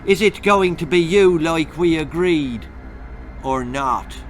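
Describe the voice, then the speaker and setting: A man's voice: on the high side, expressive, slow, soft background music, voice pushed up. A man voicing a sly, scruffy little leprechaun with a folksy Irish brogue in a children's story. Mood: menacing.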